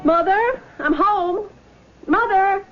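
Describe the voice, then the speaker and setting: An actress playing a grieving, guilt-ridden and stubborn young daughter, with emotional, dramatic radio drama delivery. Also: female voice, extremely high pitch, slow, soft background music. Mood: anxious. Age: young adult. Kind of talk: old-time radio drama acting. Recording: tinny, narrow phone-like radio recording.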